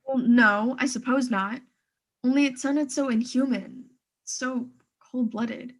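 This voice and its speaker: A woman's voice: on the high side, takes it slowly. A woman playing a fretful, imperious bedridden wife in a suspense radio play, insistent and agitated. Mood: flustered, uneasy.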